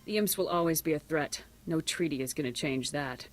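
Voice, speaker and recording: A woman's voice: low-pitched, brisk, close to an everyday voice. An actress voicing a tough, wary and blunt female Republic soldier in a video game. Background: game music.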